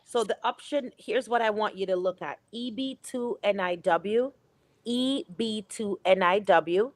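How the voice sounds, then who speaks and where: A woman's voice: mid-range voice, unhurried, no room sound. A woman advises callers on a live show in an emphatic, encouraging voice. The sound is close and dry. She calls people "my love.". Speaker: immigration advisor on a call-in show. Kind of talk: advice on a live call-in show.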